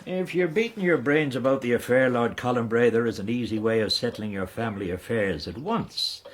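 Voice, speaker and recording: An actor playing a roguish, fawning Irish knight and debt-dodging fixer in a radio period drama, speaking with an Irish accent and theatrical flourishes. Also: male voice, mid-range voice, a little fast, lightly acted. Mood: jaunty, cynical. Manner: theatrical, ingratiating, rhetorical flourishes.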